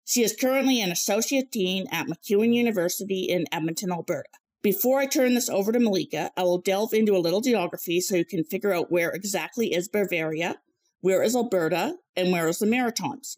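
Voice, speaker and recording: A woman's voice: medium pitch, fast, a little mellow. A young adult woman, giving a prepared presentation with conversational reflections. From Canada. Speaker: presenter, PhD candidate.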